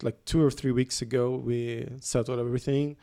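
A man's voice: mid-range voice, level tone, normal speed, vocal fry, mellow. A man from Brazil talks in a loose, friendly way, scattering "like" and "yeah" through his sentences. His voice sits in a middle range, and he tells the story plainly. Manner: chatty, sprinkled with "like" and "yeah". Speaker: podcast guest. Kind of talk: casual conversation.

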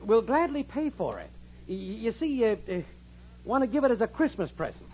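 A shifty small-time schemer boyfriend talks in lively, slangy, stammering phrases. He is streetwise and bumbling, in 1940s comedy style on a muffled old broadcast. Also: high voice, moderate pace. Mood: evasive. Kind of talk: 1940s radio comedy acting. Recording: muffled, noisy old radio recording.

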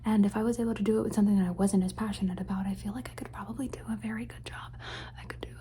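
A young adult woman whispering an ASMR question-and-answer session into a phone, rambling and softly repeating words as triggers. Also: mid-range voice, fairly even intonation, rapid-fire, a little hiss or hum. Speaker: ASMR creator.

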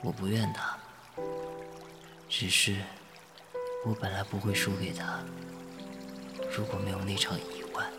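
A man's voice: sits low, level tone, unhurried, pauses often. A young man as a college freshman in an audio drama. He talks in a casual, teasing way, wry and a little touchy, with wistfulness underneath. Music plays behind the voice. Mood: wistful. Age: young adult. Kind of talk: Chinese audio drama acting.

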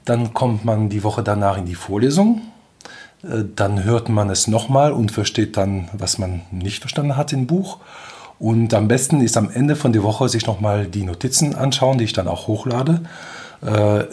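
A man's voice: lowish voice, animated, moderate pace, a little mellow. Hesitant Belgian French-accented man. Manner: thoughtful, explaining step by step with frequent hesitations. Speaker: professor of applied mechanics. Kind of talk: interview answers.

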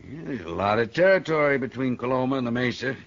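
A man's voice: medium pitch, lively intonation, quick pace, close to an everyday voice. An actor playing a doubting, probing American banker in a radio Western, dry and pointed in his delivery. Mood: skeptical. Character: skeptical, probing male banker in a Western town. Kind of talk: old radio Western acting. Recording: muffled vintage radio broadcast.

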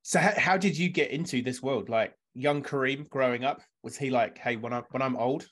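A man hosting a podcast, backing up his guest with quick affirmations and asking friendly questions. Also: mid-range voice, fairly bright tone. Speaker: podcast host.

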